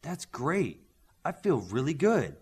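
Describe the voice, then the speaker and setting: A man's voice: on the high side, very animated, slow pace, a little mellow, husky, pitched above the performer's own voice, full character voice. A man voicing a big, eager teenage superhero boy in an audiobook.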